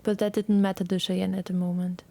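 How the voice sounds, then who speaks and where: A woman narrating a scripted dramatic story in a measured, solemn way. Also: mid-range voice, flat delivery, vocal fry, dark tone.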